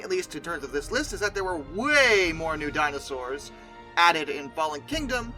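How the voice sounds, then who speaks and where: A very bright, forceful voice with lively pitch swings, in a mid-to-high register. The host talks casually and emphatically, rambling and correcting as they go. Music plays underneath. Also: rough recording. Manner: hesitant, self-correcting, conversational rambling. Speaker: video host. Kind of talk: casual commentary on film dinosaurs.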